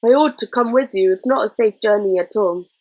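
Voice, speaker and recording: A woman's voice: medium pitch, fairly quick, darkish tone, lightly acted, poor audio. A woman voicing an anxious, kindly old man in a storybook, fretful and cautioning. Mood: worried, regretful.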